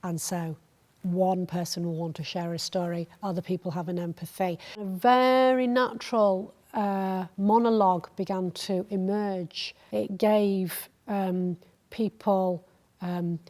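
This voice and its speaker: A woman on a theatre project's staff, speaking in a thoughtful, measured way in a documentary interview. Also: fairly low pitch, a little mellow.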